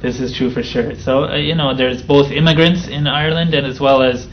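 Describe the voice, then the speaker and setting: Soft-spoken man from the Chicago area. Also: medium pitch, steady tone, fairly quick. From United States (near Chicago). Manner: soft-spoken, thoughtful, with fillers. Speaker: interview guest. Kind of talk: relaxed storytelling interview. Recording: slightly dull sound with faint noise.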